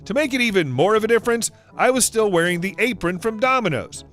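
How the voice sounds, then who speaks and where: A man giving a scripted narration of online stories as the channel's narrator, reading in an even, steady way. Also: high-pitched, fast talker, faint music behind. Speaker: story narrator.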